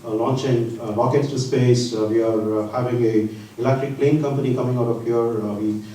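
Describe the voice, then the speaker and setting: A man presenting slides at a conference, talking in a halting way with frequent pauses mid-sentence. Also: medium pitch, steady tone, warm tone. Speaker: research center presenter. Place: large conference hall. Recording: echoey hall from far off, faint hiss.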